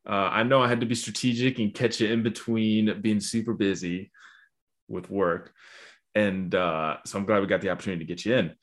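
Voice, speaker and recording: Male podcast host, lowish register, casual and chatty. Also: steady tone, a little fast. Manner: chatty, musing, with fillers. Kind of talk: casual interview.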